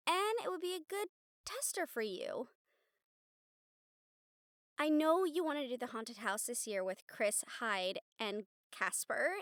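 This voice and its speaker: A young woman playing a bubbly, encouraging college student in a casual, chatty, expressive roleplay audio drama. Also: high voice, no room sound, clean studio sound, full character voice. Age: young adult. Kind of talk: roleplay audio drama acting, speaking to listener.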